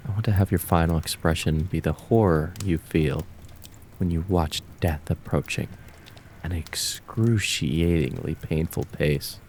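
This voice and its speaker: A man voicing a cruel, taunting kitsune fox spirit of the woods in an ASMR roleplay, with drawn-out, mocking, menacing words. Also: deep voice, darkish tone, slight background noise. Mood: sinister, savoring.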